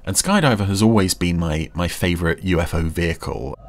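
A man giving casual, musing commentary while watching a TV episode, often pausing mid-thought. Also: low-pitched, a little hiss or hum. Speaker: podcast commentator.

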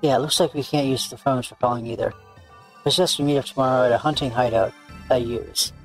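A man's voice: medium pitch, steady tone, fast, close to an everyday voice, low-quality recording. A man voicing a cocky, bossy young woman tracker, boastful and blunt, reading visual novel game dialogue. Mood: practical. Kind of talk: character read-aloud of game dialogue. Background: background music.

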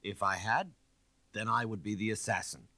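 Man performing audiobook fugitive servant, nervous, evasive, husky, sharp-edged, defensive. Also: on the low side, steady tone, dry recording. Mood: fearful, wary. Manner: nervous, halting protests.

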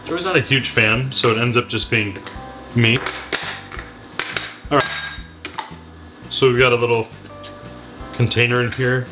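A man's voice: medium pitch, a little slow, pauses often, music in the background. A middle-aged man co-hosting a cooking show, chatting casually as he chops vegetables in a home kitchen. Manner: relaxed, explains while chopping. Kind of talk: casual cooking demo chat.

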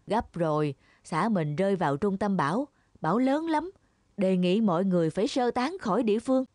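A woman voicing a practical, anxious farming villager in a children's animated fable. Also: medium pitch, no echo, clean studio sound, natural voice. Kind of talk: children's cartoon fable dubbing.

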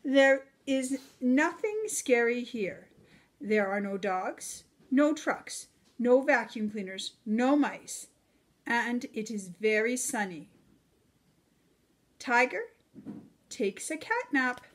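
A middle-aged woman reading a children's storybook aloud in an expressive, deliberate way for young listeners. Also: fairly high pitch.

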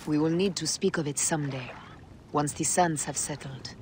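An actress playing a resolute, grieving Egyptian warrior woman loyal to her queen, solemn and measured, in a video game. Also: low-pitched, background noise, natural voice. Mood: gentle, subdued. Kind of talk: dramatic video game acting.

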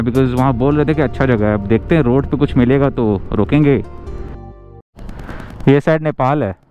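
A man's voice: medium pitch, mellow, low-quality recording. A young man chatting casually while riding a scooter on hill roads, mixing Hindi and English and grumbling with jokes. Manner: chatty, mixes Hindi and English, jokey complaints. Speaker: motovlogger.

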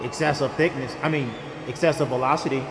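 A man's voice: medium pitch, steady tone, quick pace, creaky, noisy background. A man doing comic sports voiceover full of profane, exclamatory trash talk. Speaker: comedy voiceover creator.

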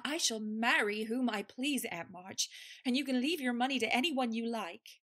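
Shy young woman, gentle, higher pitched, audio drama. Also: moderate pace. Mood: defiant. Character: shy young woman finding her courage. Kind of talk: radio drama acting.

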